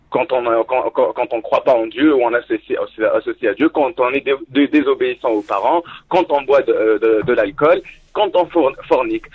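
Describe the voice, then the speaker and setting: Tunisian-born man calling in, earnest, halting. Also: mid-range voice, husky. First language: Arabic. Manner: earnest, halting with many restarts. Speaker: caller. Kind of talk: call-in talk. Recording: dull lo-fi phone call audio.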